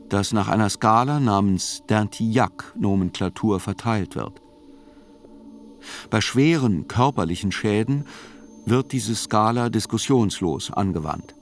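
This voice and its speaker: An actor narrating a radio play as a sober court chronicler, with measured, matter-of-fact and deliberate phrasing. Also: male voice, fairly low pitch. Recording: music under the voice. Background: music.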